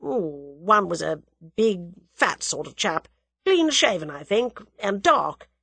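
A woman voicing a sensible, wry young English man in a dramatised audiobook, with a measured, sceptical manner. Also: on the low side, sing-song, creaky, a bit bright, husky, dry recording, exaggerated voice. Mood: thoughtful. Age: young adult.

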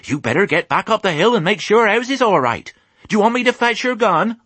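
High farm missus, all in a flap, broad dialect. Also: male voice, expressive, fairly quick, fairly bright tone, breathy edge, dry recording, full character voice. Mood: worried. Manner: broad rural dialect, breathless busy chatter. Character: fussing country farmer's wife, middle-aged, chatty.

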